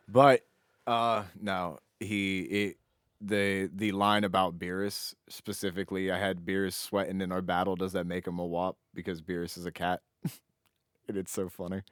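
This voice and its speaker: Low-voiced man, casual rambling podcast co-host. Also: fairly even intonation, moderate pace, dry recording, studio quality. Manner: rambling, sweary. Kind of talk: casual banter.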